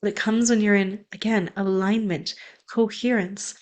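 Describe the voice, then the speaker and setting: A woman's voice: medium pitch, fairly even intonation, moderate pace. A young woman, a spiritual coach, speaking in an earnest, flowing, affirming way in an inspirational monologue.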